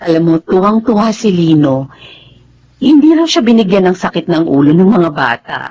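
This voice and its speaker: An actress playing a soft-hearted, grateful mother in a Tagalog radio drama. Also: lowish voice, sing-song, normal speed, highs cut off. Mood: grateful, reminiscing. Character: grateful, soft-hearted widowed mother remarried for her children.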